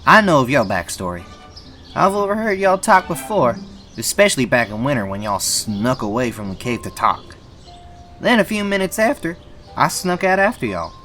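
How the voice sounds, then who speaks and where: Man acting as cartoon bunny boy, indignant, drawl, sassy and folksy, bright edgy tone, very animated intonation. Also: medium pitch, moderate pace, roomy sound, big-room sound, soft background music. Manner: folksy, sassy, scolding drawl with y'all and darn. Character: indignant young boy bunny, tired of being babied. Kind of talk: scripted amateur comic dub dialogue.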